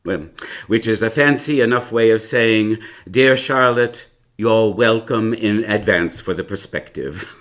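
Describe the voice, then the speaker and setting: A man's voice: lowish voice, unhurried, a bit bright. An actor playing a wry, reflective elderly uncle recounting his youth in a radio drama, in wordy old-fashioned phrases. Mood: wry, teasing. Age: older. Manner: hesitant, chuckling, old-fashioned wordy storytelling. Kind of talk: live radio drama acting.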